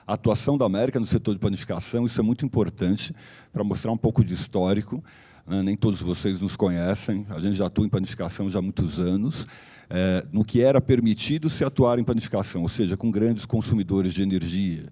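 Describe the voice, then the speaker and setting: A man who is a company director, slightly hoarse, giving a conference presentation in a loose, explanatory way with frequent fillers and restarts. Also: lowish voice, fast, mellow. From Brazil. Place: conference hall.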